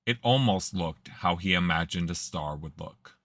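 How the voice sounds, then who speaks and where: A man narrating a science-fiction audio drama in a calm, measured storytelling manner. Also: low voice.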